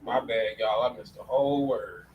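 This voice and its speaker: A man's voice: medium pitch, normal speed, narrow, tinny audio. A man teaching a classroom lesson in a casual way, often saying "all right" between points. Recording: distant mic with faint room noise.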